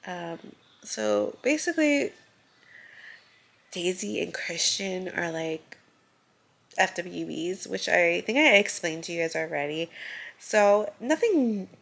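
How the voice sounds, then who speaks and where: Congested young woman with vocal fry. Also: fairly low pitch, big pitch swings, slow pace, long pauses, fairly bright tone. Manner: chatty, heavy use of filler "like". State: congested, stuffy nose. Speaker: book vlogger. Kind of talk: casual rambling vlog chat.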